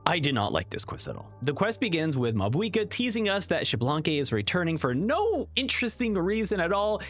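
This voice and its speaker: A man giving enthusiastic video essay commentary as a review host, speaking in an excitable, emphatic and informal way. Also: fairly high pitch, fairly quick, faint music behind.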